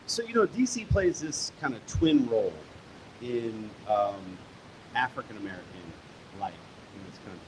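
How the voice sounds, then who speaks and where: A man's voice: medium pitch, lively intonation, noisy background. Man explaining history at a live talk. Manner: measured, explanatory, building emphasis in quotes. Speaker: author and historian guest. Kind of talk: live onstage conversation.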